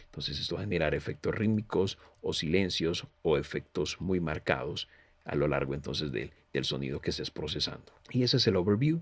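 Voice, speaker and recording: A man's voice: extremely low pitch, vocal fry, husky, no echo. A man presenting a tutorial walkthrough in an explanatory way, often repeating and rephrasing himself. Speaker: presenter of a music software review. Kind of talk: tutorial walkthrough narration in Spanish.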